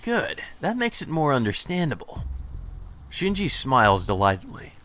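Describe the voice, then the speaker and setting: A man's voice: mid-range voice, huge pitch swings, normal speed, pushed and bright, slight background noise. A man hosting a let's play, reading game dialogue aloud and adding casual, blunt commentary. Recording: music playing under the voice.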